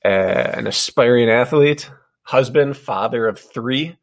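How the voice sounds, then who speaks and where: A man's voice: mid-range voice, takes it slowly. A man in his thirties from the American Midwest, co-hosting a podcast and chatting casually, often laughing as he tells stories. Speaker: podcast co-host, running coach.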